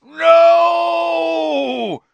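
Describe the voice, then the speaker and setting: A man's voice: fairly high pitch, very animated, slow pace, gravelly, no echo, muffled recording, voice pushed up, exaggerated voice. A man voicing a tense, blustering middle-aged uncle in an audiobook, curt and bullying in manner. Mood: panicked bluster. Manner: curt, snarling. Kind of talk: audiobook character voice.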